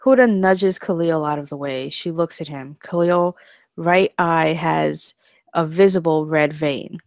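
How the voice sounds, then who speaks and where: Low-pitched woman reading stage directions. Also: unhurried, vocal fry, a little mellow, no room sound, studio quality. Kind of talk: scripted narration at table read.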